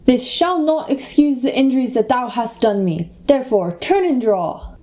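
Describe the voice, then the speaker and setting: A woman's voice: high voice, lively intonation, moderate pace, dark tone. A young woman playing a stern Shakespearean duellist, formal and declamatory, in a comic radio play. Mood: stern, defiant. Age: young adult.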